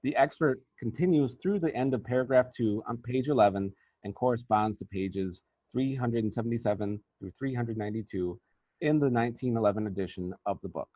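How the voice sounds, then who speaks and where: A thin, phone-like recording with very little room sound carries a man's voice. He reads a scripted academic paper in a formal, deliberate way, as a researcher presenting findings. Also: mid-range voice, no echo. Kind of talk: scripted academic lecture narration. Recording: thin, phone-like band-limited audio.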